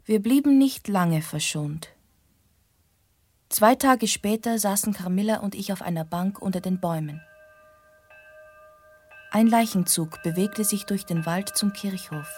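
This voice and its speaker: Soft, breathy, haunted young woman. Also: medium pitch, a little slow, long pauses, faint music behind. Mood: haunted, reflective. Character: earnest, haunted young woman recounting a gothic past. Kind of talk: radio drama narration and dialogue.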